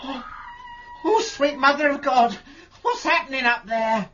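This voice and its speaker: A woman's voice: high-pitched, unhurried, fairly bright tone, shouting, dull audio, slight background noise. A woman playing a harried, pleading shopkeeper tenant in a period mystery drama, hesitant in manner. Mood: alarmed.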